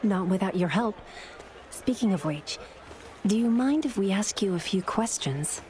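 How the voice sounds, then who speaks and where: A woman's voice: mid-range voice, lively intonation, unhurried, a little mellow, a little hiss or hum, lightly acted. An actress playing a courteous, friendly young female warrior who travels with the hero. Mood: polite. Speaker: hero's travelling companion. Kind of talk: game cutscene voice acting.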